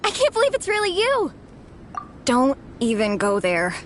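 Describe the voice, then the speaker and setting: A woman voicing a melodramatic young student and excitable comic book fanatic in a video game, theatrical in manner. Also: sits high, unhurried, a little hiss or hum, heavily acted. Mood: weary, pleading. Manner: theatrical, pleading, grandly dramatic. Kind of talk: video game character dialogue.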